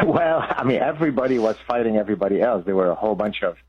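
A man in his sixties from Lebanon, speaking as a scholarly interview guest. His voice is fairly low and husky, and it comes through a thin, tinny phone-like connection. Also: lively intonation, fast talker. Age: 60s. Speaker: interview guest, professor and author. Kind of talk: explanatory interview talk. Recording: narrow tinny phone-like line.

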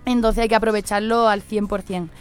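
A woman with an Andalusian Spanish accent, a guest in a casual radio interview, chatty and quick to laugh. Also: medium pitch, fairly even intonation, clear, resonant tone, faint music behind. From Andalusia, Spain. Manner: chatty, laughs easily, tells anecdotes.

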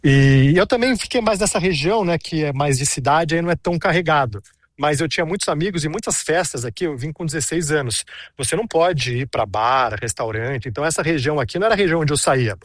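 A man's voice: on the high side, expressive, fast, no echo, studio quality. A man in his thirties giving a chatty on-location report, telling stories with many asides. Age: 30s.

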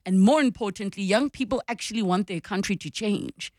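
A woman's voice: medium pitch, a little fast, a little mellow, no room sound, clean studio sound. A South African woman radio host speaking conversationally, explaining and advising in an encouraging manner. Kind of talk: conversational advice and interviewing.

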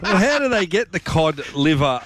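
A man's voice: a little high, big pitch swings, normal speed, heavy background noise. A man in casual, argumentative banter as a radio panellist.

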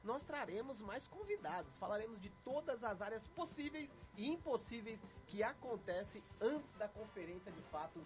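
High-voiced Brazilian man hosting over loud music. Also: very animated, normal speed, hardly any pauses. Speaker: radio host. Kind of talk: lively radio chat, addressing listeners. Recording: muffled band-limited sound over loud music.

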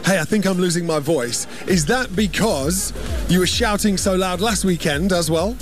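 A man hosting a lively, casual radio studio interview, teasing his guests and repeating himself in quick bursts. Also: high voice. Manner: teasing, interjecting with rapid repetitions. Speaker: radio host. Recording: music playing behind the voice.

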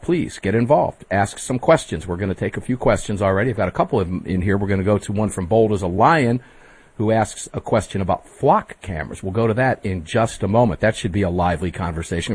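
A man hosting a talk radio show, speaking in an energetic, emphatic way to his guests and listeners. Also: lowish voice, slightly rough, dry recording.

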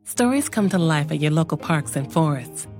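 Calm, low-voiced woman ad narrator. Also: normal speed, over music. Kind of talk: scripted public service announcement.

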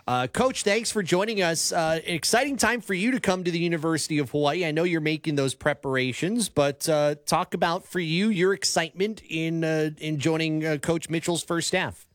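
A man hosting a sports radio show, asking questions with many fillers and halting restarts. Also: fairly high pitch, no echo, clean studio sound. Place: radio studio.

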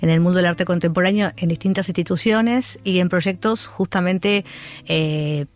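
A woman's voice: lowish voice, normal speed. A woman from Argentina with a Rioplatense accent, a guest who speaks in a casual interview with many fillers and restarts. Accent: Rioplatense Spanish. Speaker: art curator guest.

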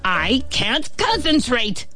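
A woman's voice: medium pitch, big pitch swings, a little slow, faint music behind, voice pushed up. A woman voicing an irritable, hot-tempered male storybook ant who sputters and exclaims in exasperation. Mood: frustrated. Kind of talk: storybook character voice.

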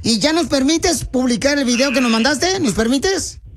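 High-voiced mocking radio host. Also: male voice, animated, normal speed, a bit bright, a little hiss or hum. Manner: mocking, confrontational, insulting. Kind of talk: heated call-in radio talk.

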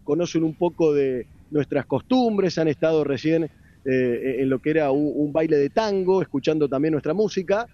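A man's voice: fairly high pitch, normal speed, no room sound, dull audio. An Argentine man reporting live from the street, hesitant with many fillers. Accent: Argentine Spanish. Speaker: field reporter.

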